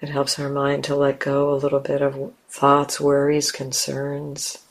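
A woman leading a guided meditation as a teacher, speaking gently in simple, unhurried phrases with long pauses. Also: a bass voice, completely flat delivery.

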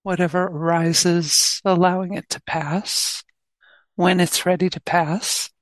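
Female meditation guide, slightly low register, unhurried delivery with spacious pauses, dry sound. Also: steady tone, dry recording, low-quality recording. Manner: gentle, long pauses between short phrases. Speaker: meditation teacher.

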